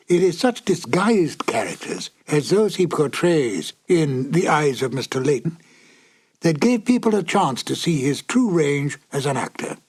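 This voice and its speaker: A man giving measured, formal scripted narration as a presenter of vintage radio. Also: a little high, a little mellow, breathy edge.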